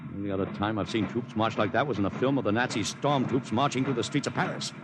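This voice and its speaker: An actor playing a brash, fast-thinking publicity man in a 1950s radio drama, slangy and commanding. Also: fairly low pitch, steady tone, close to an everyday voice. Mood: grave, stunned. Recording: noisy old radio recording.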